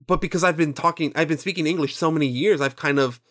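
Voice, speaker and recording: A man in his twenties whose first language is Spanish talks loosely, rambling through his stories, swearing, and quoting himself. His voice sits a little higher than most men's. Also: quick pace, a bit bright. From Mexican and Nicaraguan heritage. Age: 20s. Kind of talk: casual chat.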